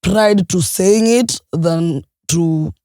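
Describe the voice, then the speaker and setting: A woman's voice: lowish voice, drawn-out words, dark tone, no echo. A woman co-hosting a podcast in a studio, talking bluntly and expressively and swearing freely. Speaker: podcast co-host. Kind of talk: casual podcast chat.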